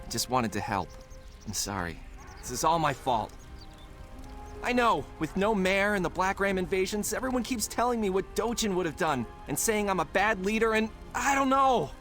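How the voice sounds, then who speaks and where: Young male guard leader for a game: insecure, earnest, highish voice, animated delivery. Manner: earnest, anxious to help. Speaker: video game voice actor. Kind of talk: dramatic game cutscene acting.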